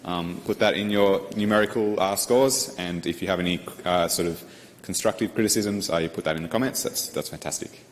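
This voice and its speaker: A man, a university lecturer making class announcements and introducing a guest, halting with many ums and uhs. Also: low voice. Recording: echoey lecture hall, distant mic.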